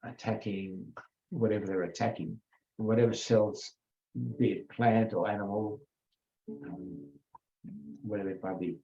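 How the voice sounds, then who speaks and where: An older man giving an informal biology lecture, halting with many fillers and self-corrections. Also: lowish voice, fairly even intonation, mellow, faint music behind. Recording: echoey room, dull lo-fi sound.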